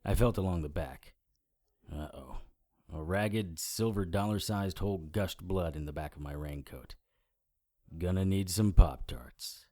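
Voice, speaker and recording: An actor playing a wry, hard-boiled supernatural investigator in an audio drama, with deadpan, sardonic noir delivery. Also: male voice, sits low, a little slow. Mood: stoic.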